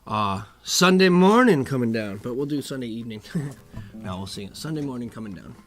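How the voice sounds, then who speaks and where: A middle-aged man hosting a music live stream from home, chatting casually between songs in a low, rough morning voice, rambling and chuckling. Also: lively intonation, a little fast. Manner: laid-back, rambling, chuckles often. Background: acoustic guitar playing.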